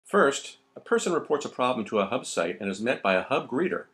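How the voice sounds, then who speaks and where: A man's voice: medium pitch, sing-song, fairly quick, fairly bright tone. A man reading scripted narration for an instructional video.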